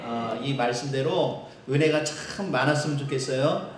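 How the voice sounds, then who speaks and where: Seoul-accented pastor in an echoey church. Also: male voice, mid-range voice. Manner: conversational, with filler pauses. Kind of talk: sermon. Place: church sanctuary.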